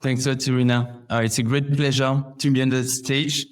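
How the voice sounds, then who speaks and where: Mid-pitched man from Belgium, podcast guest. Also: flat delivery, moderate pace. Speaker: podcast guest, customer success manager. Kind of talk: conversational interview answers.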